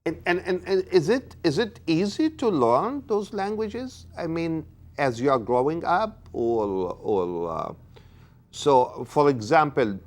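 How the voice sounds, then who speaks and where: A man interviewing a guest, asking questions in a halting way with frequent restarts and repeated words. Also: fairly high pitch.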